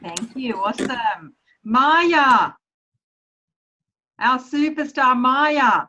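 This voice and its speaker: A woman hosting a casual group video call, upbeat and quick to praise each guest. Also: sits high, expressive, sharp tone, a little hiss or hum. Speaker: host of a team video call. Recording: muffled video call audio.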